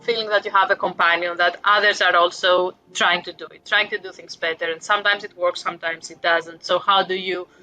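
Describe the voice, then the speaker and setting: A woman's voice: fairly low pitch, steady tone, a little fast, few pauses, pushed and bright, soft background music, poor audio. A woman in her forties from Greece with a Greek accent, a guest author talking reflectively about parenting, with light self-deprecating humor. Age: 40s. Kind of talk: casual interview talk.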